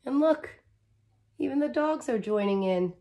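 Slow-paced woman reading to kids. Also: high voice. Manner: expressive, exaggerated wonder, simple child-directed phrasing. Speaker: teacher reading aloud to children. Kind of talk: picture-book read-aloud with commentary for young children. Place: small indoor room, curtained corner.

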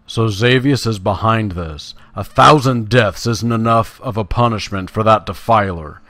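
A man's voice: on the low side, a little slow, fairly bright tone, no echo. A man reading game quest dialogue aloud as an earnest, vengeful druid, solemn and dramatic. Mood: solemn, vowing.

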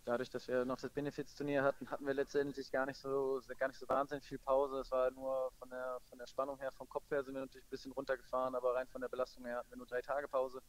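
A man gives a post-match interview as a football coach. He talks quickly and keeps his pitch very even. His manner is measured and matter-of-fact, at a middle pitch. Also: low-quality recording.

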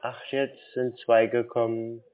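A man in a casual phone conversation, talking in a naive, slow-witted, stalling way like a confused customer. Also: mid-range voice, little pitch movement. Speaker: prank caller posing as a naive customer. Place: phone call. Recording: tinny band-limited phone line.

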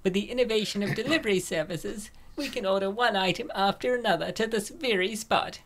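A woman's voice: medium pitch, brisk, close to an everyday voice. A woman playing a poised, well-off young woman in a comic dub, speaking in a natural voice. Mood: relaxed, indulgent. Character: rich, poised, unsuspecting young woman friend.

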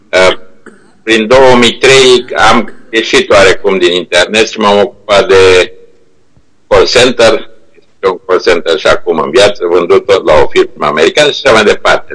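An older man reminiscing informally over a video call, speaking haltingly as he searches for words. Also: low-pitched, a bit bright, poor audio. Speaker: internet company co-founder, remote guest speaker. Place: remote video call played to a meeting room. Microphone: video call shown on projection screen.

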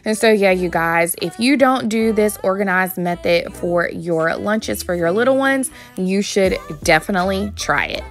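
A woman in her thirties talks her viewers through her home routine in a relaxed, chatty voice-over at a middle pitch. Light music plays faintly under her voice. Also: normal speed, hardly any pauses. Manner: chatty, addresses viewers as "you guys". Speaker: vlogger. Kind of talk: casual voice-over narration.

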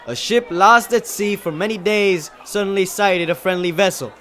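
A man's voice: on the high side, animated, measured pace. A man playing an earnest, deferential young college student in a dramatized first-person reading of a novel. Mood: fervent. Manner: earnest, respectful, oratorical when addressing a crowd.

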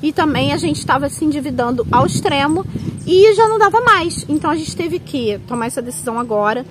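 A Brazilian woman vlogger with a high voice speaks in a casual, earnest way. She sounds encouraging, like someone addressing her followers directly. There is noticeable ambient noise around her. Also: lively intonation, fairly quick. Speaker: vlog co-host. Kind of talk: casual vlog talk and narration.

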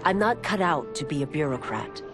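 An actress playing a plainspoken police constable, narrating a game ending over music. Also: female voice, low-pitched, sing-song, brisk. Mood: steady. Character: blunt female police constable.

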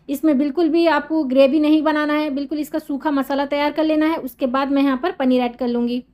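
A woman with a high, light voice talks through a recipe in a voice-over. She explains each step patiently and steadily, repeating the key instructions. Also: a little mellow. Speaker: cooking channel host.

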